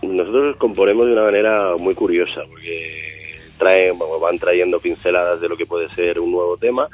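A male singer answering interview questions in a relaxed, rambling way, thinking aloud with fillers. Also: low-pitched. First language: Spanish. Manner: thoughtful, rambling with fillers and restarts. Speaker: singer of a band, interview guest.

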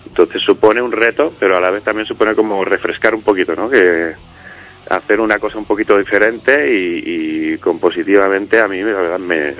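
A man's voice: low-pitched, moderate pace, fairly bright tone, highs cut off. A man, a musician and songwriter, talking in a casual radio interview, rambling with many fillers and restarts. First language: Spanish. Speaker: musician guest, singer and songwriter of a band.

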